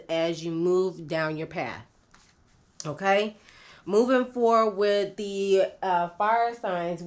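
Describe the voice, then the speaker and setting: A slow, unhurried woman with a lilt reads tarot cards aloud, her voice a bit nasal and stuffy from a cold. She pauses often, with fillers and small restarts. Her voice sits slightly low for a woman. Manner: slow, halting, many fillers and self-corrections. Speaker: tarot reader. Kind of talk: unscripted tarot reading to camera.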